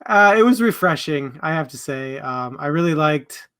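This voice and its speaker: A man's voice: on the high side, expressive, moderate pace, a bit bright, clear, resonant tone. A man co-hosting a live book discussion stream, talking casually and in a friendly, informal way to viewers.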